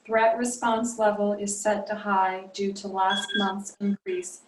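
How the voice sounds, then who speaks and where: A woman's voice: mid-range voice, level tone, unhurried, lightly acted. A woman voicing a rigid, impersonal security drone computer in a sci-fi audio drama, speaking in formal, procedural announcements. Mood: impersonal, official. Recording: roomy, noisy, distant-sounding remote microphone.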